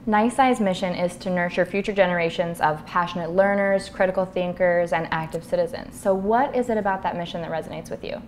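Host: young woman, lowish voice, polished and measured, lapel mic with faint room ambience. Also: slight background noise. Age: young adult. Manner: polished, measured presenter delivery. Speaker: interview host. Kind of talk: scripted introduction and interview questions. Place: office with bookshelves. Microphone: clip-on lapel mic.

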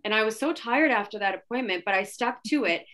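A woman's voice: on the high side, fairly quick. Earnest woman on a video call. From Poland. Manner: earnest, animated retelling of a client meeting. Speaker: realtor panelist. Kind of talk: storytelling on a webinar panel.

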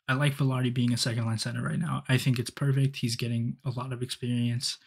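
A man's voice: medium pitch, husky. Young man rambling about hockey, headset. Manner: rambling, many ums and restarts. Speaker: hockey fan podcaster. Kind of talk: casual solo commentary. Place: small dim room indoors. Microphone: headset, webcam framing.

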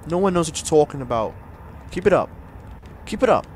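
A man casually commenting on a video game he plays, joking and laughing, jumping from loud squeals to low mumbles. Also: big pitch swings, a little fast, slight background noise. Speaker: gameplay streamer. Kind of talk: casual let's-play commentary.

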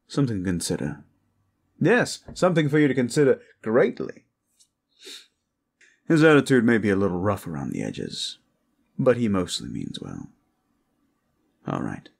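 A man performing an intimate audio roleplay as a protector, speaking in a reassuring, courteous, measured way. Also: low-pitched, vocal fry, husky. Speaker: roleplay voice actor, playing a gentle protector.

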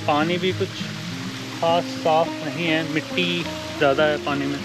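A man's voice: a little high, steady tone, a little slow, rough recording. A man in his thirties from India, casually narrating a hike vlog outdoors on a forest trail, chatting with viewers like friends through an action camera. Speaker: hiking vlogger. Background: guitar music.

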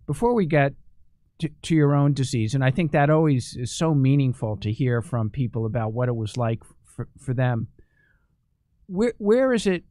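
A man's voice: mid-range voice, a little mellow, no echo. A middle-aged man hosting an interview, asking earnest conversational questions into a studio microphone. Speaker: host. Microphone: studio microphone on stand.

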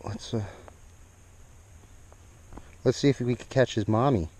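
A man's voice: mid-range voice, a little slow, darkish tone. Easygoing young man vlogging by a lake. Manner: easygoing, chatty, talks to the fish and viewers. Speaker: fishing vlogger. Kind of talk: casual fishing vlog narration. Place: outdoors on a rocky lakeshore. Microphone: action camera, head-mounted and at arm's length.

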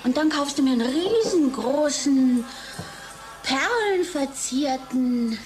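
A woman's voice: sits high, lively intonation, slow pace, a little mellow, faint music behind, poor audio. A woman playing a dreamy, coaxing lover in an audio drama. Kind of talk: slow radio-play acting.

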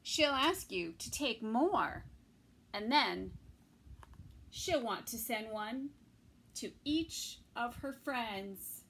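A middle-aged woman reading a picture book aloud to toddlers, expressively, with pauses between phrases. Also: fairly high pitch, expressive, creaky, a bit bright, slightly rough, rough recording. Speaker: children's story time reader. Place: small indoor room with bookshelves.

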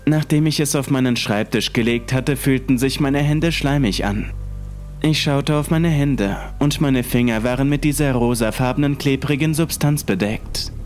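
A man narrating a creepy first-person horror story in a calm, measured way. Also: medium pitch.

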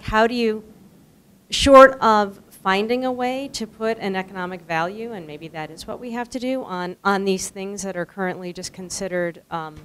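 An older woman in a lecture room audience asks a question, her voice smooth and clear. She is polite but hesitant, pausing and restarting as she goes. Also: medium pitch. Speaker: audience member asking a question.

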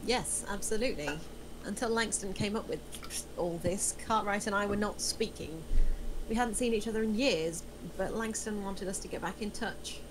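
A woman's voice: medium pitch, moderate pace, background noise, natural voice. A woman playing a skeptical, exasperated character in a screenplay table read. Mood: guarded. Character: skeptical, exasperated woman pulled into a crime scheme.

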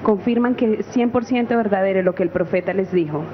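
A woman giving a rapid interpretation of the church testimonies. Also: medium pitch, background noise. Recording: dull muffled audio.

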